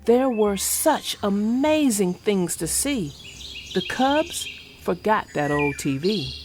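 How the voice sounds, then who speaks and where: A woman reading a picture book aloud, her pitch swooping through a lively, sing-song range. She takes her time over each line, and soft music plays beneath her. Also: takes it slowly. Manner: animated, sing-song, expressive. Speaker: storyteller. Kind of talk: children's book read-aloud.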